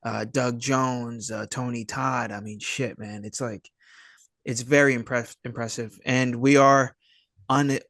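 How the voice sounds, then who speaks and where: A man giving casual interview answers as a guest, rambling and halting in an easygoing, dude-like way. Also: mid-range voice, fairly even intonation, a bit bright, dry recording, studio quality. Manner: rambling, halting, laid-back dude talk. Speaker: interview guest, indie filmmaker and writer.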